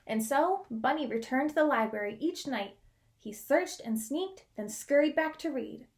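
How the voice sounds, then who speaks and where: A woman reading a picture book aloud as a storyteller, expressive, with dramatic pauses and drawn-out key words. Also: high-pitched. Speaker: children's read-aloud storyteller.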